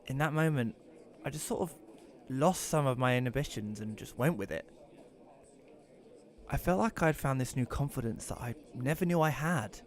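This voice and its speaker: A man's voice: mid-range voice, expressive, moderate pace, natural voice. A man playing an awkward, reclusive widower who narrates his own audio drama in an informal, conversational way. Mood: reflective narration. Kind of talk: first-person audio drama narration and dialogue.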